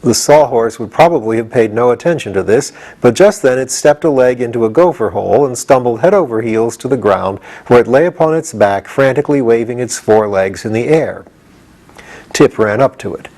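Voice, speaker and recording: A man's voice: medium pitch, expressive, moderate pace, a little mellow. A man reading a children's story as scripted audiobook narration. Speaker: audiobook reader.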